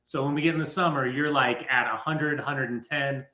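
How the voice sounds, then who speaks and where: A man's voice: medium pitch, steady tone, moderate pace, pushed and bright. A man joining a talk show remotely as a soccer commentator, joking in a laid-back way. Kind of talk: casual chat. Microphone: remote connection. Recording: roomy remote line.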